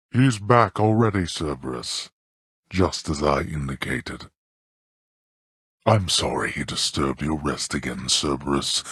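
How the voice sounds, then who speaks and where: A man playing a stern, scornful old god of the underworld in a video game, speaking with pompous, archaic, disdainful diction and a very deep, gravelly voice. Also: a bit bright, dry recording, studio quality, heavily acted. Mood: dry, haughty. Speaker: voice actor. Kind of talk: theatrical scripted video game character lines.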